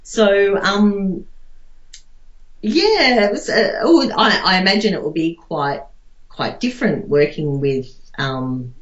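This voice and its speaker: A woman's voice: mid-range voice, animated, slow pace. A woman chatting casually in an interview, hesitant and thinking aloud as phrases trail off. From Australia. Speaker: interviewee, artist. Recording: dull lo-fi, roomy echo.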